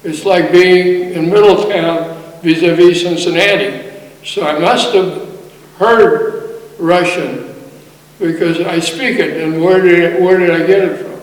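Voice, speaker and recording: An older Polish-born man who speaks slowly, pausing and correcting himself as he remembers. His voice sits a bit high for a man. He was recorded from far off in a big echoing hall with a long reverb. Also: fairly even intonation. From Poland. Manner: slow, hesitant, self-correcting recollection. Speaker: war veteran interviewee. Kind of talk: oral history interview. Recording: distant echoey hall recording.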